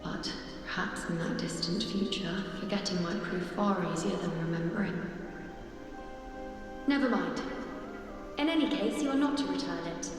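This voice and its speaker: An actress playing a solemn, wistful woman in a video game, speaking in measured, formal, poetic phrases. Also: on the low side, lightly acted. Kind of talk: dramatic video game voice acting. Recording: heavy echoing reverb under music.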